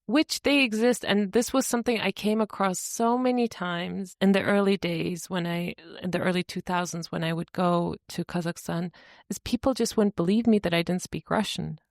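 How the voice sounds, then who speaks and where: A middle-aged woman co-hosting a podcast, chatting in a relaxed, lively storytelling way. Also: lowish voice, normal speed, no room sound, clean studio sound. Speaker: podcast co-host. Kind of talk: casual chat.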